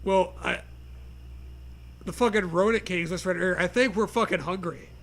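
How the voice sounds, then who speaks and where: A man's voice: sits high, normal speed, a bit bright. A man co-hosting a comic dramatic reading of a horror story, with crude, jokey asides. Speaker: co-host, reader.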